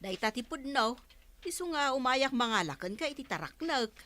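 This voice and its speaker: Feisty fishpond owner, ilocano accent, indignant, bright lively voice, audio drama. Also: female voice, sits high, normal speed. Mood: complaining.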